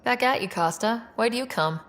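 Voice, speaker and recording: A woman's voice: a little high, animated, fairly quick, fairly bright tone, a little hiss or hum. A woman playing a young, resolute space explorer in a video game, earnest and understated. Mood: wry, reflective. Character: young, new, resolute human explorer leader, female.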